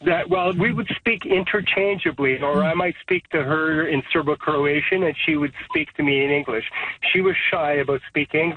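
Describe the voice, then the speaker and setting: A man from Canada, calling in to a radio show and telling his story in a relaxed, reflective way. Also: on the high side, moderate pace. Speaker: caller. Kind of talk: phone-in chat. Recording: tinny phone line.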